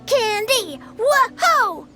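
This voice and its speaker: A woman voicing an exuberant, eager small child in a video game, with a squeaky delivery. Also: big pitch swings, very slow, music in the background, exaggerated voice. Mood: overjoyed.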